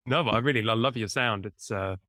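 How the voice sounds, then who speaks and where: A man's voice: medium pitch, bright, forceful tone, no room sound, studio quality. A man with an English accent, from near Cambridge, hosting a casual podcast interview in a friendly, teasing way over a video call. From England, near Cambridge. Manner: friendly, teasing, self-mocking. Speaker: podcast host.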